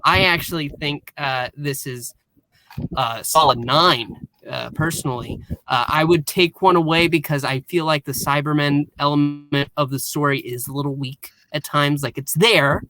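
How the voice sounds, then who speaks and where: A man's voice: on the high side, steady tone, moderate pace, pushed and bright, slight background noise, poor audio. A man chatting casually and animatedly as a panellist on a review show.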